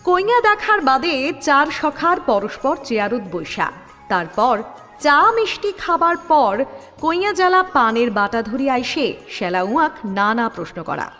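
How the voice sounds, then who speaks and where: Woman narrator, brisk scene announcements, high lively voice, long reverb. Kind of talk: reading stage directions in an audio drama.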